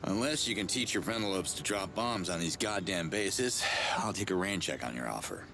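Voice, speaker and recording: An actor playing a brash, wisecracking hero in a video game, talking in a casual, sarcastic and cocky way. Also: male voice, sits low, animated, moderate pace, sharp tone, slight background noise, exaggerated voice. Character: wisecracking human man, brash heroic outsider. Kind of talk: video game cutscene acting.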